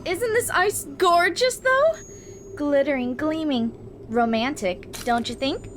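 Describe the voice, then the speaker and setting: A woman's voice: high-pitched, animated, takes it slowly, fairly bright tone, slight background noise, full character voice. A woman voicing a cheerful young girl robot who gushes romantically about matchmaking, in a comic dub. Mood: dreamy, coaxing. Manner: gushing, girlish.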